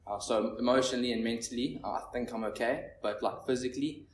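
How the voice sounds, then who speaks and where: A man's voice: mid-range voice, steady tone. Nervous young man in an echoey room. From Zimbabwe. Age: 20s. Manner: halting, rambling, heavy on fillers. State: nervous, shaky. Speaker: speaker at a recovery meeting. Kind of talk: personal recovery share. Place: meeting room with an audience. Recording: echoey room, distant mic, faint noise.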